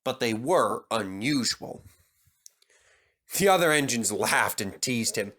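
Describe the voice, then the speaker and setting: A man's voice: medium pitch, animated, slow pace, a bit bright. A man reading a children's story as a scripted narrator.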